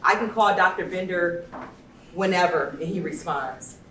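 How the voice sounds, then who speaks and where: A woman's voice: lowish voice, moderate pace, a bit bright. A woman moderating a panel informally, chatty, personal and effusive as she introduces people. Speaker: panel moderator and event host. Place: indoor event venue, amplified panel talk. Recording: echoey room, distant mic, faint noise.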